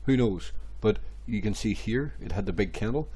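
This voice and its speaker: A man, hoarse and stuffy from a cold, rambling through crypto chart analysis with many repeats and fillers. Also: on the low side, animated, moderate pace, warm tone. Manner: rambling, repeats phrases, many ums and restarts. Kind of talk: casual screen-share market commentary.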